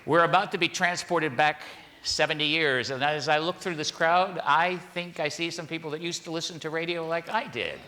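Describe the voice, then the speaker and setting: A man's voice: fairly high pitch, steady tone, moderate pace, bright, forceful tone. An older man acting as master of ceremonies, making informal introductions to a live audience. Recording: live hall recording, crowd and noise. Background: audience crowd noise.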